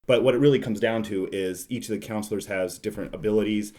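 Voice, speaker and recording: A man's voice: on the low side, steady tone, quick pace, darkish tone. A man hosting a podcast, chatting casually about board games. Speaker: podcast host.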